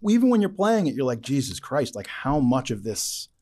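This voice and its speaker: A man's voice: medium pitch, big pitch swings, moderate pace, a little mellow. A man hosting an interview in a casual, informal, enthusiastic way. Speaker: interviewer, host. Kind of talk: casual chat.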